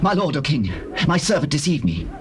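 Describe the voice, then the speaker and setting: An actor playing a humble lame prince, submissive and earnest, in dramatized scripture. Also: male voice, fairly high pitch, big pitch swings, fairly quick, faint music behind. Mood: humble pleading. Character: humble lame prince pleading before his king. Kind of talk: dramatized scripture, classical stage acting. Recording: noisy old cassette tape.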